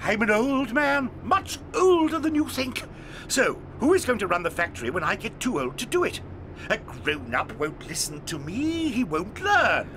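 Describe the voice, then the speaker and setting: An actor playing an eccentric, excitable old male chocolate maker in a full-cast audio drama. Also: high-pitched, moderate pace, a little hiss or hum, exaggerated voice. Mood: excited. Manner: excitable, theatrical, lively swings.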